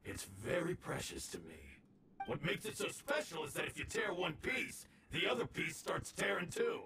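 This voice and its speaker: Gloating game villain, wildly swooping pitch, gravelly, theatrical, taunting. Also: male voice, huge pitch swings, moderate pace, bright, forceful tone, slight background noise, exaggerated voice. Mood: smug, gloating. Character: gloating scheming male gang villain, prone to panic. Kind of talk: video game voice acting.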